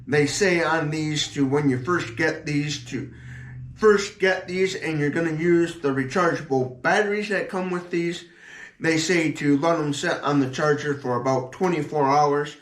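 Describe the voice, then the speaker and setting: Higher-pitched man rambling through a casual review, echoey room. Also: a bit bright. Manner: rambling, repetitive, plain-spoken. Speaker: product reviewer. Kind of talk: casual unscripted gear review.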